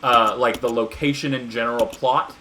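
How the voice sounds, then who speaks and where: A man's voice: medium pitch, steady tone, normal speed, bright, forceful tone, a little hiss or hum. A man in casual chat while playing a video game, explaining at length with many fillers and restarts. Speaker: gaming co-streamer.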